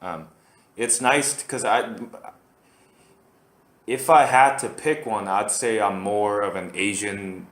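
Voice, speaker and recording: A man's voice: fairly low pitch, slow pace, long pauses, fairly bright tone, echoey room. A man from Korea, hosting a casual solo podcast and rambling with lots of fillers and restarts. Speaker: podcast host.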